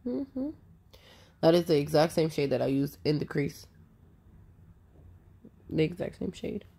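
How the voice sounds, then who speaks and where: A sassy woman in her thirties, nasal from a cold, narrating her own makeup tutorial. Her voice sits low and has a warm, relaxed tone. She speaks emphatically and talks to viewers like a close friend. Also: a little slow, gravelly, slightly rough. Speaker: makeup artist.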